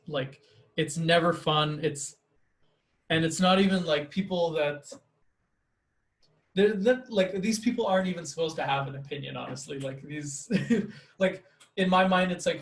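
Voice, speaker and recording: A man talking casually with a friend on a podcast, his speech loose and rambling and full of "like." His voice sits a little higher than most men's, and the room gives it a noticeable echo. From Canada. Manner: rambling, many fillers like "like". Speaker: podcast host, teacher. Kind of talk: casual conversation.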